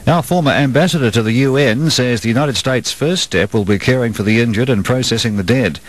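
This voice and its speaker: A man's voice: mid-range voice, a little fast, noisy background. New Zealand man reading radio news. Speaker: radio newsreader. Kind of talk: scripted news bulletin reading.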